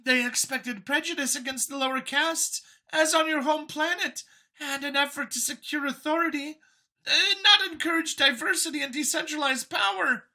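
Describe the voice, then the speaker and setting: A man's voice: very high-pitched, moderate pace, sharp tone, voice pushed up, heavily acted. A man voicing a timid, nervous female mouse alien scientist from an audio story, stammering and hesitant. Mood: nervous, explaining.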